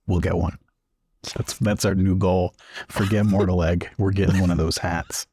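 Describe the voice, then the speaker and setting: A man's voice: low-pitched, flat delivery, normal speed, dark tone, slightly rough, no room sound, clean studio sound. A man joking casually as a player in a tabletop game.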